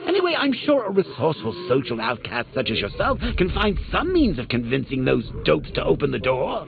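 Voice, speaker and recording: A man's voice: high voice, vocal fry, full character voice. An actor playing a sadistic, cackling male clown villain who taunts the hero with theatrical mockery in video game voice lines. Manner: mocking, theatrical, sing-song taunts and cackles. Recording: tinny lo-fi game audio with loud noise.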